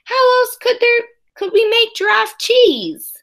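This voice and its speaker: A woman voicing an eager, imaginative eight-year-old schoolboy from a storybook, in animated, sing-song rhyme. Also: very high voice, slow pace, voice pushed up, full character voice. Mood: excited, dreamy.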